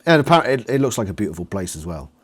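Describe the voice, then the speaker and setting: A British man chatting casually as an interviewer, jokey and quick to swear. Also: fairly low pitch, big pitch swings, breathy edge. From Britain.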